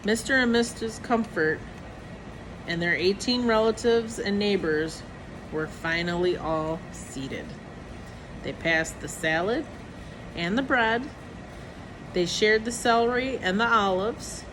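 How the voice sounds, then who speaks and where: A woman reading a children's picture book aloud in a deliberate storytelling manner, acting out the characters' lines. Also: mid-range voice, background noise. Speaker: storybook reader.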